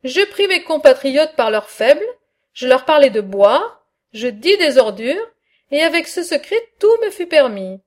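A woman reading aloud the lines of a bold, mocking male satirist in a philosophical dialogue, in a measured, earnest way. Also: sits high.

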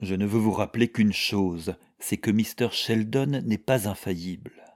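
A man voicing a serious, indignant young woman with a pleading, forceful manner in an audiobook. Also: lowish voice, a little slow, dry recording, studio quality, lightly acted. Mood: impatient. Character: earnest, indignant, impatient young woman protecting her friend.

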